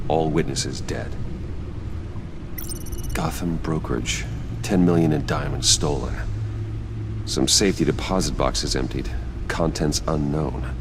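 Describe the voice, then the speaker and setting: A brooding American vigilante in a video game. His voice is extremely low and weighty. He speaks tersely and with grim control, over a clear bed of ambient rumble. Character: brooding, determined adult male vigilante detective.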